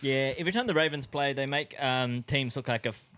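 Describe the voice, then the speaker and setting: A man's voice: medium pitch, a little fast, fairly bright tone, smooth. A man co-hosting a casual sports banter podcast. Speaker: podcast co-host.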